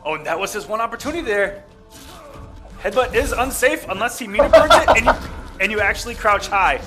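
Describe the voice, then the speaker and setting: A man doing excitable play-by-play commentary with technical breakdowns of a fighting game. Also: high-pitched, brisk, loud music behind the voice. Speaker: esports commentator.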